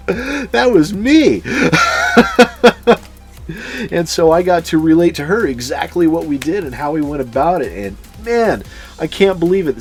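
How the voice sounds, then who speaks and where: A man telling personal anecdotes casually as a channel host, swearing freely and chuckling as he goes. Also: mid-range voice. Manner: sweary, chuckles through his stories. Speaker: YouTube storyteller and channel host.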